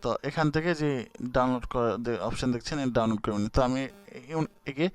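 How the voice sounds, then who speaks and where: Smooth-voiced man explaining an app. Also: medium pitch, fast talker, dry recording. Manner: informal, hesitant, many fillers and restarts. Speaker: YouTube tutorial host. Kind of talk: casual screen-recorded app tutorial.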